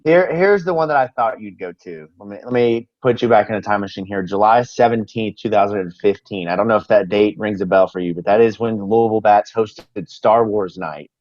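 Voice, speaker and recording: A man with a fairly low voice hosts a sports podcast in a casual interviewing style. The sound is very dry with no room echo, and a bit dull and lo-fi. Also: brisk, few pauses.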